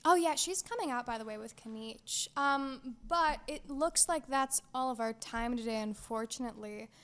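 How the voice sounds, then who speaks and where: A woman's voice: on the high side, normal speed, fairly bright tone. A teenage girl co-hosting a podcast in casual chat, laughing often and hesitating with frequent ums. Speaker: podcast co-host.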